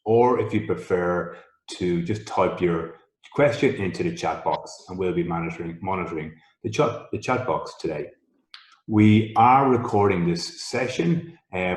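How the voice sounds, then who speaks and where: A man with a very deep voice hosts a webinar over a video call. He talks politely and in an orderly way as he sets out how the session will run. His voice has a roomy echo. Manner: polite, organized, explains logistics. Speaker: coach and co-host. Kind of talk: webinar introduction.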